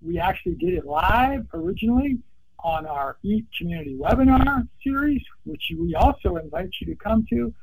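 A man's voice: high-pitched, a little mellow, husky, poor audio. Man, podcast host, upbeat, chatty, video call. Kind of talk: webinar interview.

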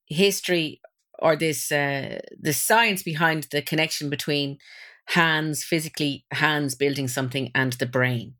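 A woman's voice: low-pitched, fairly bright tone. A woman hosting a podcast, asking enthusiastic, rambling questions with plenty of hesitations. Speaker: podcast host. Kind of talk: conversational interview.

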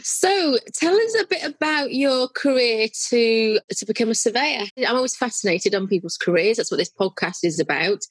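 A woman's voice: on the high side, expressive, moderate pace, few pauses, breathy edge, no room sound, low-quality recording. A woman from North Wales with a light, mixed accent, hosting a podcast interview in a chatty, friendly way. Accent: light, mixed North Welsh. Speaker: podcast host.

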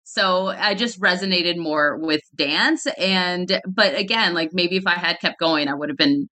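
A woman's voice: on the low side, a little slow, pushed and bright. A woman, a podcast guest who talks casually, peppers her speech with "like", and laughs often. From Canada. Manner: chatty, many "like" fillers, laughs often. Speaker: guest, fitness founder.

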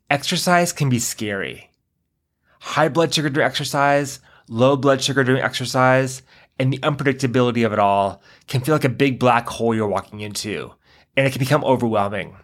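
A man hosting a podcast, speaking in a calm, measured and supportive way as he introduces his guest. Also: mid-range voice. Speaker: podcast host. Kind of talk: podcast interview.

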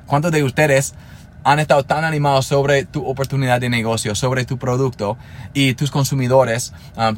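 A man's voice: medium pitch, slight background noise. A man in his thirties, a native English speaker talking in Spanish, hosting a solo podcast in an earnest, motivational way with frequent restarts. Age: 30s. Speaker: podcast host.

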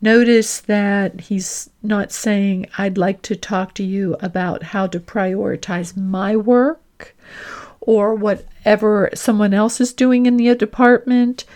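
Female career coach, mid-range voice. Deliberate, emphatic podcast teaching with clearly stressed key phrases. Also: medium pitch, fairly even intonation, darkish tone. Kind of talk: instructional podcast talk with acted example dialogue.

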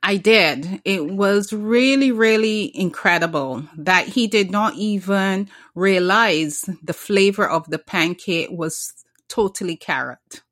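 A woman's voice: mid-range voice, takes it slowly, fairly bright tone, no echo, clean studio sound. A middle-aged woman from Barbados, a small business founder, telling her story earnestly in a casual interview, often restarting her sentences.

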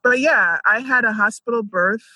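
A woman's voice: on the high side, moderate pace, pushed and bright, no room sound, low-quality recording. A woman, telling her life story conversationally and peppering it with "like" and "you know". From Jamaica and Philadelphia, United States. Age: 30s.

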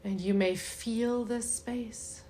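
A woman leading a guided meditation in a soothing, unhurried way with long pauses and a soft voice that turns breathy at times. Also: on the high side, fairly even intonation.

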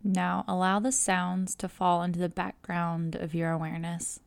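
A woman guiding a relaxation meditation, speaking in a calm, measured, soothing way with pauses between phrases. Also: on the low side, creaky, clean tone, no echo. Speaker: yoga nidra guide. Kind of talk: guided meditation narration.

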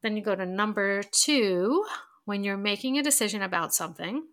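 A woman's voice: mid-range voice, animated, moderate pace, fairly bright tone. A woman giving casual self-help advice to camera, chatty and full of fillers, her voice stuffed-up and nasal from allergies. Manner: chatty, many fillers and restarts.

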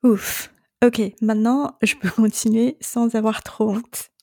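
Slow, mellow-voiced woman coach. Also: a little high, no room sound, studio quality. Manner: calm, reassuring, speaks directly to the listener. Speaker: separation coach and podcast host. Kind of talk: solo coaching podcast monologue.

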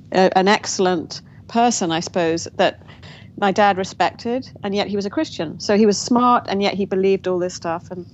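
A woman, a guest in an interview, telling her family story in a reflective way with frequent restarts. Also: mid-range voice. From England. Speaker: guest author. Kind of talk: interview conversation. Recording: dull lo-fi audio with faint noise.

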